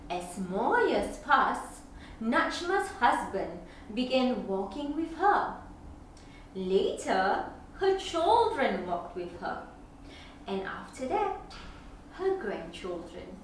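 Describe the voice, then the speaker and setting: A woman reading a picture book aloud to children as a storyteller, with deliberate, dramatic phrasing and expressive pauses. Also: fairly high pitch. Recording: very echoey room, distant mic, faint noise.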